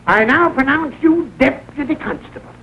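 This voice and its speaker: An actor playing a bumbling small-town justice of the peace in 1940s film comedy style, by turns mock-solemn and jolly. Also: male voice, extremely high pitch, normal speed, bright, forceful tone. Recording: dull, noisy old film soundtrack.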